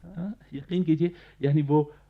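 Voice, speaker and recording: An actor playing a genial, wry government officer laid up in bed, confiding in the listener as a radio play narrator. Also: male voice, on the high side, fairly even intonation, unhurried. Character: genial bedridden government officer recounting his neighbours' life. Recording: dull archival radio recording.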